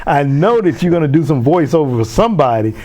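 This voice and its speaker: A man's voice: a little high, expressive, quick pace, a little mellow. A man hosting a community TV interview, chatty and enthusiastic, often restarting his sentences. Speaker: host.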